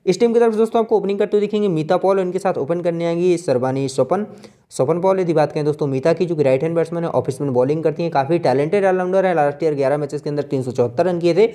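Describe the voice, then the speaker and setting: A man with an Indian accent giving an informal, brisk fantasy cricket preview as a channel host, running through player statistics. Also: fairly high pitch, dark tone. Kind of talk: informal match preview commentary over on-screen stats.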